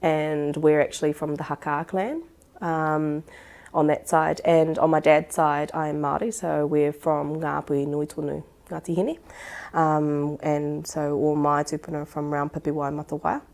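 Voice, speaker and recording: Low, creaky New woman. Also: level tone, normal speed, a little mellow. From Singapore-born, living in Auckland, New Zealand. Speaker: expert on supporting sexual violence survivors. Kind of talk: reflective explanatory interview talk.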